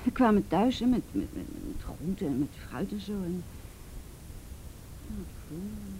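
A woman playing an ashamed, abused teenage girl in a radio drama, halting and repeating herself, often sinking to a breathy whisper. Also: mid-range voice, very animated, warm tone, a little hiss or hum, poor audio. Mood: halting, subdued. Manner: halting, repeats words, tag questions. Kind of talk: naturalistic radio drama acting.

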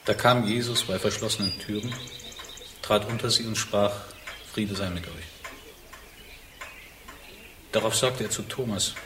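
An actor playing a solemn pastor in a radio play, reading scripture in a measured, subdued, reverent way. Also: male voice, lowish voice, fairly even intonation, lightly acted. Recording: birdsong and ambient noise behind.

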